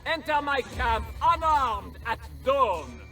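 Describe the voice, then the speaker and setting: An actor playing a smug, sneering French baron, a villainous commander in a video game, with a French accent and a condescending manner. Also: male voice, very high voice, big pitch swings, slow pace, bright, forceful tone, recorded from a distance, faint music behind, exaggerated voice. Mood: smug, taunting. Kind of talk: dramatic video game acting.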